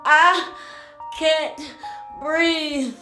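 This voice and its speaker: A woman performing spoken word poetry with a slow, pleading, mournful delivery. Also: high-pitched, steady tone, bright, forceful tone, roomy sound, soft background music.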